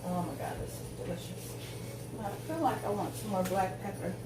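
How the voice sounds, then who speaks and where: A rambling woman in her forties talks while she cooks in her home kitchen, drifting between tangents with plenty of ums. She stands away from the camera, so her voice sounds distant and bounces around a roomy, echoey space. A steady layer of room noise sits under everything she says. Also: mid-range voice, normal speed. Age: 40s. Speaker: home cook vlogger. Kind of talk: casual chat while cooking.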